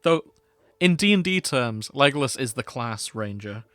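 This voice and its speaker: A man's voice: mid-range voice, animated, moderate pace, fairly bright tone, no echo. A man co-hosting a podcast in casual comedic chat, with a dry, matter-of-fact manner. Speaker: podcast co-host.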